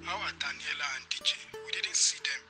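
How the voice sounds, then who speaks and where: A man's voice: fairly high pitch, fairly quick, lightly acted. A male actor playing a polite, earnest school drama teacher, speaking formally and courteously in a screen drama. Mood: polite concern. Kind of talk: naturalistic screen drama acting. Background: loud music.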